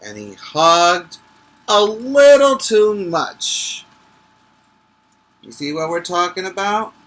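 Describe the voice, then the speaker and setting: A man who teaches preschool, reading a picture book aloud emphatically, stretching key words and pausing to explain things to the children. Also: high-pitched, big pitch swings, a bit bright. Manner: instructive, checking in with listeners.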